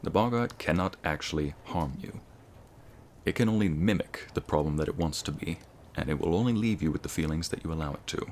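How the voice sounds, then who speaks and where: A man with an American accent playing an encouraging, scholarly wizard school professor in an ASMR roleplay, lecturing students in a measured, formal way. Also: a bass voice, slight background noise. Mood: reflective, reassuring. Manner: measured, formal, teacherly, addressing students directly.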